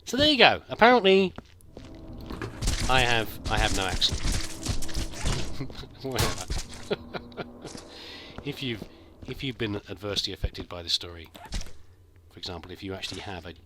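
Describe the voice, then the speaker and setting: Lively British man chatting over gameplay. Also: fairly low pitch, moderate pace, long pauses, background noise. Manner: rambling, self-deprecating, hesitant restarts. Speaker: gameplay commentator. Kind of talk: casual storytelling over gameplay.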